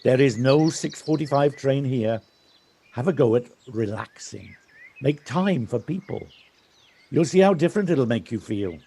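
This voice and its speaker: A man acting a husky, easygoing villager. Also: medium pitch, animated, measured pace. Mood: friendly, encouraging. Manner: gently teasing, encouraging. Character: easygoing, teasing village man, kindly and encouraging. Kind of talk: audio drama acting. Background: birdsong.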